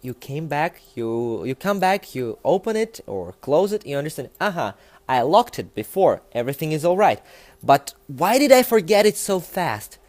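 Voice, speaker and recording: A young man with a Russian accent, a native Russian speaker, talking to the camera in a casual, animated and emphatic way, with many fillers. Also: a little high, moderate pace. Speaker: language learning vlogger.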